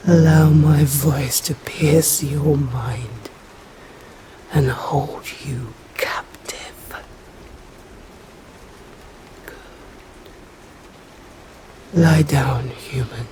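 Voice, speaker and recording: A woman with an English accent voicing a stern, hungry yet protective female vampire in an ASMR roleplay, whispering slowly in formal, old-fashioned phrasing. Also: extremely low pitch, steady tone, echoey room, very noisy, exaggerated voice. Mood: commanding.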